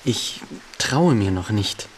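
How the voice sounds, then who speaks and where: An actor playing an earnest, troubled young man in a radio drama, with a hesitant, husky and breathy delivery. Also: on the low side, expressive, takes it slowly, a little hiss or hum. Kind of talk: German literary radio drama acting.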